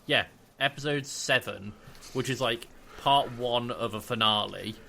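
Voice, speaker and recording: A man's voice: mid-range voice, a little slow, vocal fry, sharp tone, slight background noise. A man co-hosting a podcast in casual banter, chipping in with a teasing manner. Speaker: podcast co-host.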